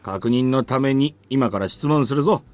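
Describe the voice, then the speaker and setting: An actor playing a stern, exasperated history teacher in a visual novel, with a scolding, authoritative manner. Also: male voice, medium pitch, quick pace, darkish tone, natural voice. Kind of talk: Japanese visual novel voice acting.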